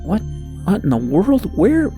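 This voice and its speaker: Man voicing a frightened, high-pitched lost young boy. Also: huge pitch swings, unhurried, vocal fry, dark tone, faint music behind, heavily acted. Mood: bewildered, anxious. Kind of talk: dramatic audiobook character voice.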